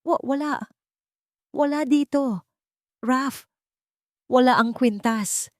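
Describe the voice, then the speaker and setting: A woman's voice: sits high, slow pace, no echo, studio quality, voice pushed up. A woman voicing a poised, rich fiancée in an audio drama, speaking dramatically with English phrases mixed in. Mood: distraught. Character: poised rich fiancée, falsely sweet, theatrically distraught.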